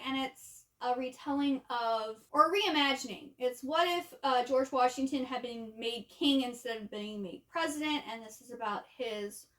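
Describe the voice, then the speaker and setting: Female book vlogger, high-pitched, casual conversational delivery, informal and chatty. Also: a bit bright. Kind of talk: casual chat to camera.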